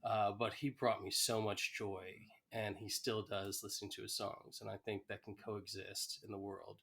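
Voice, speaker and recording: A man's voice: on the low side, flat delivery, moderate pace, gravelly, a bit bright. Earnest man in casual podcast chat. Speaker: podcast co-host.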